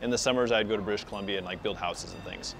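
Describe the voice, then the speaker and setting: A man's voice: on the low side, racing, gravelly, slight background noise. A young man from Nebraska chatting casually in an interview, with lots of likes and you knows. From Nebraska, USA. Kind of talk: interview answers.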